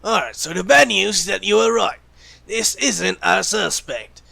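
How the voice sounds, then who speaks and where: Man playing a gravelly, callous, sarcastic secret agent. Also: high voice, expressive, measured pace, sharp tone, dry recording, heavily acted. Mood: smug, sarcastic. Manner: flippant, sardonic, teasing. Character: callous, sarcastic male secret agent who jokes about killing. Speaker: voice actor in audio roleplay. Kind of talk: ASMR roleplay acting.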